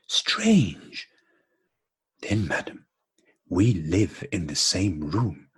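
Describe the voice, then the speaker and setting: A man's voice: on the low side, husky, full character voice. A man playing a courteous, bemused married man in an absurdist radio play, speaking with formal, repetitive politeness. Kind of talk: absurdist stage dialogue played as radio drama.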